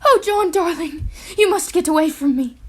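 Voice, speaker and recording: A woman's voice: very high-pitched, normal speed, a little mellow. A woman playing an anguished young woman under a vampire's spell in a melodramatic radio drama. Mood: tearful anguish.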